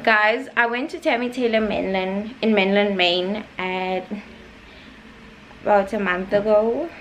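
A woman's voice: mid-range voice, fairly even intonation, unhurried, rough recording. A South African woman of 21 chatting casually and playfully to her vlog viewers, with sassy slang, into a camera held at arm's length. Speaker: vlogger. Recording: clear background noise.

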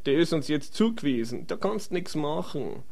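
A man's voice: fairly high pitch, sing-song, moderate pace, gravelly, darkish tone, full character voice. A man with a Viennese accent voicing a streetwise, grumbling rogue adventurer in a comedy fantasy audio drama. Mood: resigned, grumbling.

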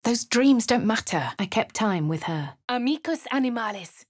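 An actress voicing a dry-witted, composed female adventurer in a video game, with a husky upper-class English accent and a crisp, measured delivery. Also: a little high, expressive, no echo. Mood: wry. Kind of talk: video game voice lines, in-character narration. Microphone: close studio mic.

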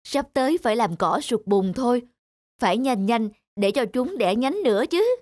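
A man's voice: extremely high pitch, animated, normal speed, darkish tone, dry recording, studio quality. An actor playing a practical, plain-spoken male rice farmer in a cartoon fairy tale. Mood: brisk, practical.

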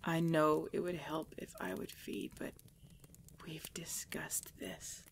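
A woman playing a tormented, devoted pregnant vampire in an intimate ASMR roleplay, halting and breathy, often dropping into a whisper. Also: sits low, flat delivery, exaggerated voice. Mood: weary, tender. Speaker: voice actor in audio roleplay.

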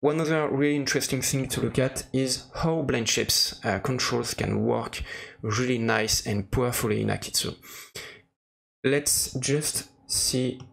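Slow, gravelly man demonstrating software. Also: medium pitch. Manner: hesitant, searching for words. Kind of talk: casual screen-recorded tutorial narration. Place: voice-over for a screen recording.

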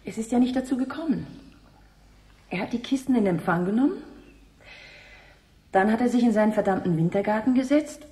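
A woman's voice: fairly high pitch, animated, fairly quick, long pauses, dark tone, close to an everyday voice. A woman acting as a sober, sceptical amateur investigator in a German radio play, matter-of-fact and dryly ironic.

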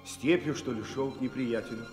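A plain-spoken soldier acted by a man in an old radio play. He talks in brusque, colloquial bursts at a middle pitch. Music plays clearly behind him. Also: brisk, natural voice. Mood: gruff reporting. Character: rough Red Army sentry, plain-spoken soldier. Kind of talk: Soviet radio play acting.

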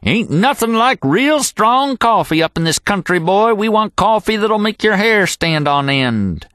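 A man voicing a weathered old mountain packer who yells everything in a folksy rustic drawl. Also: high voice, sing-song, measured pace, dry recording, studio quality, pitched above the performer's own voice, full character voice. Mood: hearty yelling.

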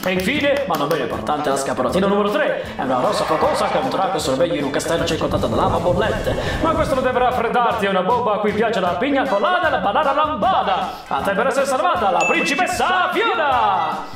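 A man's voice: high voice, very animated, fast, far from the mic, voice pushed down. A man voicing a suave magic mirror who talks like a slick, showy game-show host in a cartoon fan dub. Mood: showy, upbeat. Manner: smooth, showy announcer delivery. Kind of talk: fan dub of an animated comedy. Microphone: studio microphone on boom arm. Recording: echoing voice over loud film music.

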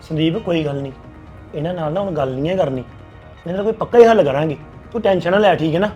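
A man's voice: a little high, fast, darkish tone, dull audio, soft background music, close to an everyday voice. An actor playing a protective husband who reassures his crying wife and speaks firmly about the family. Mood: reassuring. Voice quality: reassuring, firm. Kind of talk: family drama acting.

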